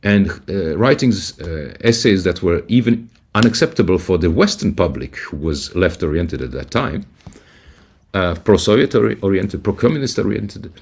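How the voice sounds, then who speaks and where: An older man from Yugoslavia speaking as an interview guest on a video call, halting with many restarts and fillers. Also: low voice, lively intonation, vocal fry, darkish tone, breathy edge. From Yugoslavia, of Serbian origin. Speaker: guest, writer and translator. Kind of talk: conversational interview answer.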